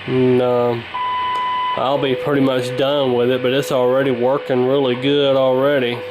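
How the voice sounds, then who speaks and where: A man at mid pitch talks through a workshop repair in a relaxed, rambling way, stopping often for fillers. He sounds unhurried and plainspoken. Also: very noisy. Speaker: vintage radio repairer. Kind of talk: casual workshop narration.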